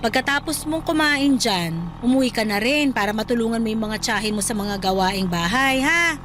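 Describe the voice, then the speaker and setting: A woman's voice: on the high side, normal speed, background noise, lightly acted. A woman playing a loving, hardworking middle-aged mother in a Filipino radio drama, with an affectionate, motherly manner. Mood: affectionate, teasing. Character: loving, teasing, hardworking eatery-worker mother.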